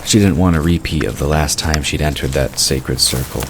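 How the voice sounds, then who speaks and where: A man's voice: very deep voice, normal speed. A man reading scripted third-person narration for a fantasy audio drama. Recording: clear background noise of night crickets.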